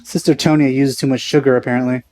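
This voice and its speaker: A man's voice: medium pitch, steady tone, quick pace, a little mellow. A man giving casual let's play commentary in a dry, skeptical, sarcastic way. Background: faint game music.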